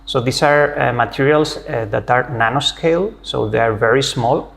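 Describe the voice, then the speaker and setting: Spanish-accented middle-aged professor, friendly explainer, mid pitch, pauses on "uh" often. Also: male voice, gravelly, a bit bright, slight background noise. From Spain. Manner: friendly, explanatory, with frequent "uh" hesitations. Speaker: physics professor, interview guest. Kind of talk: academic interview conversation.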